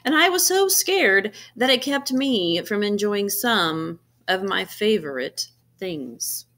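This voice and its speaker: A woman voicing an earnest, anxious yet determined egg in an expressive picture-book read-aloud, with dramatic emphasis and pauses. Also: mid-range voice, pitched above the performer's own voice.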